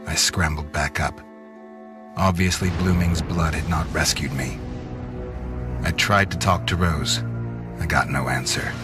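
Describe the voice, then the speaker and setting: Very deep, brooding noir vampire. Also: male voice, measured pace, pauses often, over music. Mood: bleak. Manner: terse, grim, deadpan. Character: brooding gravel-deep male vampire, hardboiled noir narrator. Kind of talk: scripted first-person noir game narration.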